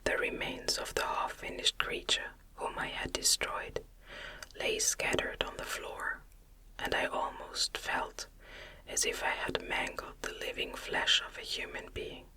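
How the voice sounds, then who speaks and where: A narrator reading a classic story in a soft, breathy, soothing ASMR whisper with measured, deliberate phrasing. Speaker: audiobook reader.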